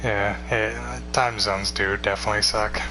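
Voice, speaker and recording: Tired young man, low flat voice. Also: unhurried, noisy background. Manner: rambling, relaxed, swears casually. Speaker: streamer. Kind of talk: casual chat with stream viewers.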